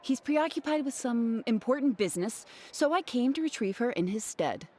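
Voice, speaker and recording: A woman's voice: a little high, animated, moderate pace, warm tone, a little hiss or hum, lightly acted. An actress playing an insistent, guarded woman with a firm, formal manner in a video game. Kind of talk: English game dub dialogue.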